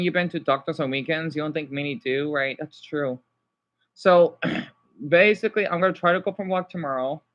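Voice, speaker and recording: High-pitched man, rambling and halting. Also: pushed and bright, muffled recording. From United States. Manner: rambling, halting, jumping between topics. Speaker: live streamer. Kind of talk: casual chat with live viewers.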